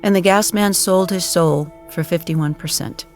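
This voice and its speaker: A woman narrating an audio drama in a solemn, measured storytelling manner. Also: lowish voice, darkish tone, soft background music.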